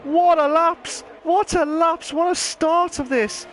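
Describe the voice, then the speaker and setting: High-voiced race commentator, rambling. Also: male voice, takes it slowly, a little hiss or hum. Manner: rambling, excitable, bursts into shouting. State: voice going hoarse. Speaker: sim racing league commentator. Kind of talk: live esports race commentary.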